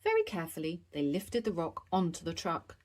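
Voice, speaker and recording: A woman reading picture-book stories aloud for young children in an expressive narrating style. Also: on the low side, fairly quick. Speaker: storybook reader.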